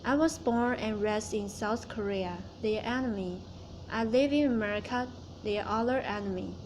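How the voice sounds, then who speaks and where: A woman's voice: medium pitch, moderate pace, darkish tone, slight background noise, rough recording. A woman student giving a prepared class speech, reciting it carefully and restarting words she stumbles on.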